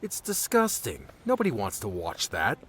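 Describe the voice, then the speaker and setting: A man playing a touchy, guarded young guardian in an audiobook, with an exasperated, halting delivery. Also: lowish voice, wildly swooping pitch, a bit bright, slight background noise. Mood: sarcastic, irritated. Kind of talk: audiobook character dialogue.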